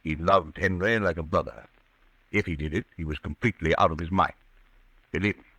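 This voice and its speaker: A man acting an assured, formal doctor in an old-time radio drama, speaking briskly. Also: deep voice, bright, forceful tone, slight background noise. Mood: earnest. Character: assured doctor, loyal friend of a troubled patient. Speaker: radio actor.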